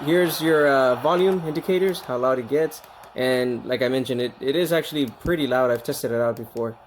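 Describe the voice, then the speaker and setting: A man's voice: mid-range voice, moderate pace. A man talking casually through an unscripted product demonstration, conversational, repeating himself and stressing key words. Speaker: product reviewer.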